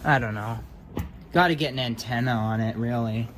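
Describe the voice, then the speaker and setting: A man's voice: medium pitch, moderate pace, fairly bright tone, a little hiss or hum. A man giving casual, hands-on commentary as a hobbyist electronics repairer, offhand and muttering as if to himself.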